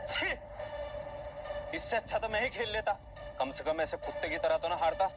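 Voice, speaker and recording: An agitated, loyal young henchman, voiced by a man in an audio drama. He pleads urgently in a high voice, and music plays behind him. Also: moderate pace, lightly acted. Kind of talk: Hindi audio drama acting. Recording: background music bed.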